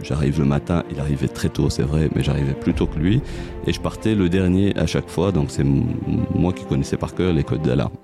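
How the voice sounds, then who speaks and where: A man in his forties from Tunisia, a business owner talking about his career as a podcast guest, halting often with fillers and restarts. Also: rumbling low, over loud music. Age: 40s. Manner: hesitant, many fillers and restarts. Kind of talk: casual interview.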